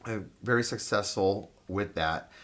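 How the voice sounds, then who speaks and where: A man's voice: fairly low pitch, unhurried, fairly bright tone. A man born in Cuba hosting a casual interview, effusive and gushing, often restarting his sentences. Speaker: interviewer host.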